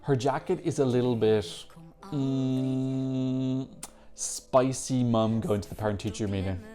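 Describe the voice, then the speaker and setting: A man's voice: mid-range voice, a little slow, mellow. A man hosting a Eurovision reaction video, giving chatty, opinionated commentary and correcting himself as he goes. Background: faint music from the songs being reacted to.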